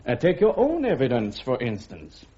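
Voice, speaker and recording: An actor playing a pushy, insinuating newspaper reporter, ingratiating and sly, in a radio drama. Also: male voice, mid-range voice, huge pitch swings, moderate pace, warm tone, breathy edge. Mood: sly, probing. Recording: dull lo-fi radio drama recording.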